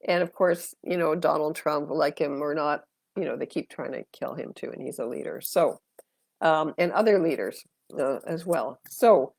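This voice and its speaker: An older woman with a low, gravelly voice and a stuffy nose from a cold, talking to a live audience in a loose, chatty way full of ums and asides. The sound is close and dry, picked up on a phone with no room echo around her. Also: normal speed, darkish tone. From Canada. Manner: chatty, rambling, many fillers. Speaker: astrologer and tarot reader hosting a live stream. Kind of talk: casual live-stream talk.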